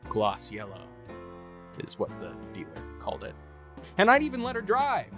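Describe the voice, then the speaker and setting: A man's voice: medium pitch, wildly swooping pitch, moderate pace, over music. Man acting smug rich playboy, swooping delivery. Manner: self-important, theatrical. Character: smug, self-satisfied rich young man on the prowl. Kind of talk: dramatic first-person short story reading.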